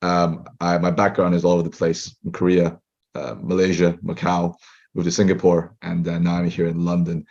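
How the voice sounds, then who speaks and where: A young man, a startup co-founder, telling his story as a guest in a fast, chatty way. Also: low-pitched, monotone, a little mellow, lo-fi sound. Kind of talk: casual interview chat.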